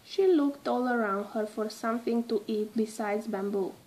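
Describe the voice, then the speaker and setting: A woman reading a children's storybook aloud in an expressive, measured storytelling manner. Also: a little high, poor audio. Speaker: storybook reader.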